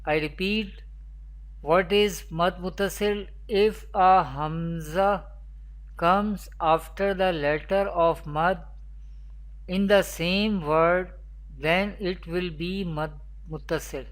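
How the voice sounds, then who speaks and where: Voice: medium pitch, steady tone, slow. A Quran teacher giving a scripted online lesson in a didactic, repetitive manner, asking questions and then answering them. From Pakistan.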